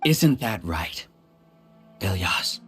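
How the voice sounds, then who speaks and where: Arrogant cult-leader researcher for a video game: condescending, measured speeches, very expressive intonation, music underneath. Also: male voice, low-pitched, exaggerated voice. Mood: mocking. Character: smug, arrogant male researcher villain leading a hive mind. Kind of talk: scripted video game voice acting.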